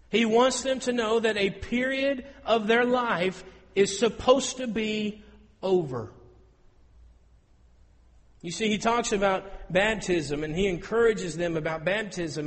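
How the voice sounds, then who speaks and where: A man in his thirties preaching a sermon in an auditorium, telling stories with lively, rising emphasis. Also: sits high, in a large hall. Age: 30s. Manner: storytelling, animated with rising emphasis.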